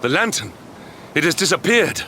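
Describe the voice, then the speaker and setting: An actor playing a stern, protective knight in a game cutscene, speaking formally and earnestly. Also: male voice, fairly high pitch, very animated, moderate pace, a little hiss or hum. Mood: troubled. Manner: formal, solemn, forceful. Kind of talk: video game cutscene acting.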